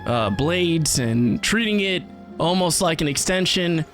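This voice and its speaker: A man's voice: fairly high pitch, lively intonation, unhurried. A man giving casual, conversational reaction commentary as the host of a gaming video. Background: soft game music.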